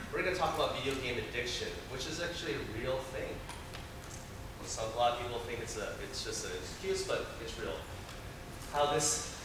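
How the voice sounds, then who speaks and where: A young man from Taiwan whose first language is Chinese, giving a casual, self-deprecating conference talk packed with fillers and jokes. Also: mid-range voice. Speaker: conference presenter. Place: large conference room. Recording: echoey hall from far off with noise.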